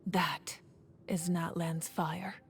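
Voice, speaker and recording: Proud solemn leader speech for a game, low even voice, real slow. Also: female voice, breathy edge, heavily acted. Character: solemn, proud female leader of her nation. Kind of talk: dramatic game monologue.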